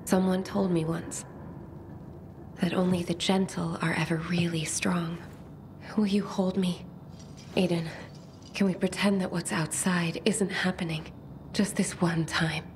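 An actress playing a pensive, sensitive young bookish survivor in a video game, speaking in measured, formal phrases. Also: female voice, lowish voice, little pitch movement, a little hiss or hum, natural voice. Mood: dreamy, vulnerable. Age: young adult. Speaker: game character. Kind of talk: video game cutscene dialogue.

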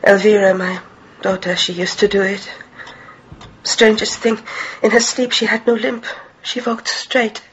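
A woman acts a soft-spoken household servant in a radio horror drama, formal, careful and wistful. The sound is slightly dull, with faint noise behind. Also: mid-range voice, steady tone, unhurried, close to an everyday voice. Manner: formal, hesitant, careful phrasing.

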